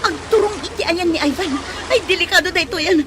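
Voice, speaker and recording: A woman's voice: very high-pitched, fast. A woman with an Ilocano accent playing a frightened young woman stranded at sea after a ferry sinks, panting and calling out. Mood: panicked. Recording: loud crowd and noise behind.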